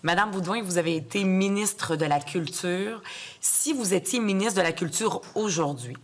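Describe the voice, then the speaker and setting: A woman's voice: fairly low pitch, moderate pace. A woman with a Québécois accent, a legislator asking long, hesitant questions at a committee hearing. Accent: Québécois French.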